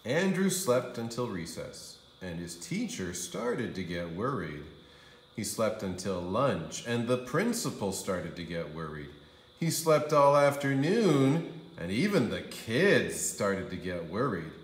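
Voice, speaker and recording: A man reading a children's story aloud as a kindergarten teacher, with expressive, drawn-out emphasis on key phrases. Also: lowish voice, echoey room. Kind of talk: animated storybook narration for young children.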